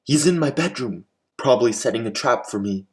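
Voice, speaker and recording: A man playing an excitable, bossy mad scientist in an old-time radio comedy mystery. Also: mid-range voice, fairly quick, voice pushed down, close to an everyday voice. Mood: urgent, excited. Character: male mad scientist Doctor Frankengrain, excitable, bossy procrastinator.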